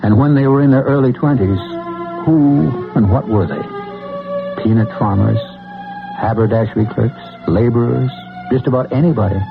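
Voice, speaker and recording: A man's voice: mid-range voice, moderate pace, creaky. An American man as a radio drama host, giving scripted suspense narration in a wry, theatrical, rhetorical manner. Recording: muffled old radio broadcast, music.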